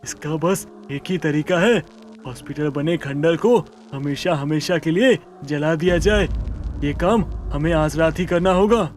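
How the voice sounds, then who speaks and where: A man plays a worried but resolute village headman in a cartoon moral tale. His voice sits a little high and he speaks earnestly, with emphasis. Music plays behind him. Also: unhurried, slight background noise, lightly acted. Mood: alarmed, resolute. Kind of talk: animated Hindi story dialogue.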